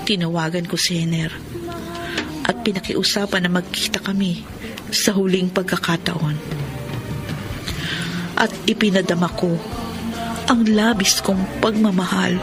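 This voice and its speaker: An actress with a Filipino accent playing a sorrowful, lovelorn woman in a radio soap drama, speaking softly and tenderly. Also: medium pitch, close to an everyday voice. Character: lovelorn, sorrowful woman pleading with her former lover. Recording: loud music bed under radio drama. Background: sentimental music.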